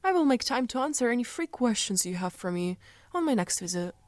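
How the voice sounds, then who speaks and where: A woman voicing a calm, cryptic dream spirit in an animated comic dub, speaking in a measured, lightly teasing manner. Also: a little high, slight background noise. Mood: teasing, reassuring.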